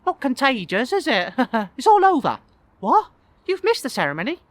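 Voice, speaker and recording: A man voicing a brisk, kindly but cutting female hotel receptionist in a clipped, teasing manner. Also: very high voice, wildly swooping pitch, no echo, pitched above the performer's own voice, exaggerated voice.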